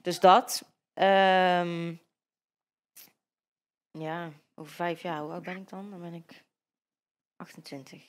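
A young Dutch-accented woman in her early twenties speaks on a sofa in a bright living-room studio, her voice sitting a little low. She talks hesitantly, with many fillers and restarts, into a handheld microphone. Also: fairly even intonation, takes it slowly, pauses often. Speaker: interview guest. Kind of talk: casual interview.

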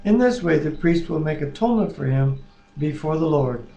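A man in his sixties reading scripture aloud in a measured, deliberate way, with brief bits of chat. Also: a little high, animated, vocal fry, warm tone. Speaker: Bible reader and co-host. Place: living room at home, indoors. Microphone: webcam at arm's length. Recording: roomy, echoing webcam sound.

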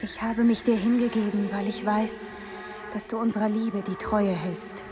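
Woman as a tender young noblewoman, loud music. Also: medium pitch, fairly even intonation, moderate pace, close to an everyday voice. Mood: tender pleading. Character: devout, tender young noblewoman, sorrowful beloved. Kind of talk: dramatic game cutscene acting.

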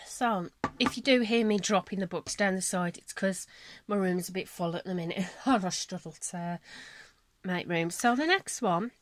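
Chatty woman with a croaky voice. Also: mid-range voice, moderate pace. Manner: chatty, apologetic, rambling with fillers. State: croaky from a cold. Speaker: colouring channel host. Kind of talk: casual chat showing colouring books. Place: home room at a table. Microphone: camera on a selfie stick above the table.

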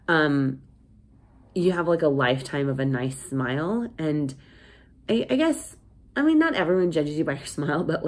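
A woman in her thirties chatting casually to camera in a home office, rambling with lots of fillers and restarts, sounding nasal from a cold. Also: rumbling low. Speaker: vlogger. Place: small home office indoors. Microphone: phone camera at desk.

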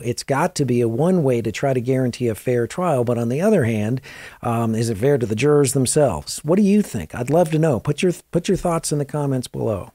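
Attorney explaining the law in a mellow voice. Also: male voice, mid-range voice, no room sound, clean studio sound. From Southeast Virginia. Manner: explanatory, asks viewers questions, conversational. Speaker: attorney and crime news host. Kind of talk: legal commentary to camera. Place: law office with desk lamp and bookshelves.

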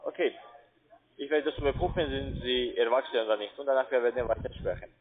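A man's voice: mid-range voice, quick pace, a little hiss or hum. A man with a foreign accent and non-native phrasing, working as a call centre agent and arguing with a customer. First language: not German. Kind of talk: phone sales conversation. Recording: band-limited phone call audio.

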